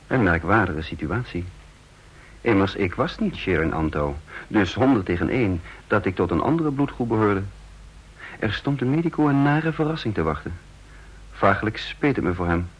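A secret double agent in a radio drama, shaken and brooding. His voice is lowish and very husky, and he tells his inner thoughts in a measured, suspicious way. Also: lively intonation, dull audio. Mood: tense reflection. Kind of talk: Dutch radio drama acting with inner-monologue narration.

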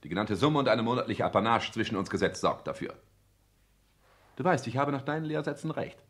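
An actor playing a cold, ambitious social climber in a 1960s German radio play, speaking in a clipped, pompous, formal and declamatory way. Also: male voice, medium pitch, expressive, brisk, a bit bright, close to an everyday voice. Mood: cold, calculating.

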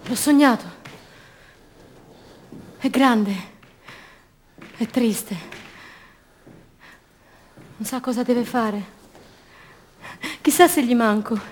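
A woman's voice: on the high side, very slow, pauses often, darkish tone, slight background noise. An actress playing a sorrowful young disappeared mother longing for her son, in short dreamlike phrases in a radio drama monologue. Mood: wistful.

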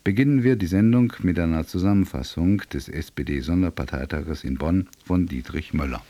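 A man's voice: low voice, moderate pace, mellow, no echo. A man presenting a radio news programme from a script, in wry, ornate phrasing.

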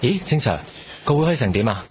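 An actor in a radio drama playing a brisk, wry young policeman, casual and colloquial, with a Hong Kong accent. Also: medium pitch, sing-song, background noise, lightly acted.

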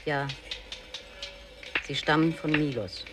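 Radio drama chorus: solemn, emphatic, raised pitch, declaimed verse over prominent music. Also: male voice, takes it slowly. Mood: solemn, declaiming. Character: solemn male chorus storyteller. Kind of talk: poetic radio drama recitation.

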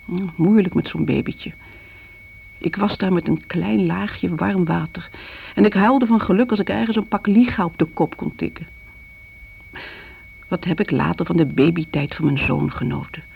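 A woman's voice: medium pitch, creaky. An actress playing a middle-aged mother in a radio play, reminiscing in a chatty, confiding way, fond yet reproachful. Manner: chatty, confiding, seeking agreement. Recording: muffled old radio recording, faint hiss.

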